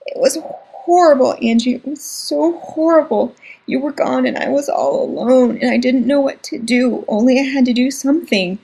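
A woman voicing an earnest, timid young boy who speaks quietly and slowly to his older sister. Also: mid-range voice, animated, creaky, breathy edge, full character voice, poor audio. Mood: tearful.

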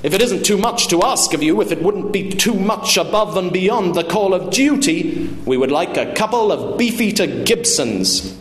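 A man reading a short story, voicing a boisterous, sarcastic father who barks at waiters with loud, pompous mock politeness. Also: high voice, lively intonation, takes it slowly, gravelly, hardly any pauses, pitched above the performer's own voice, full character voice. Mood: sarcastic, condescending. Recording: roomy, noisy live room.

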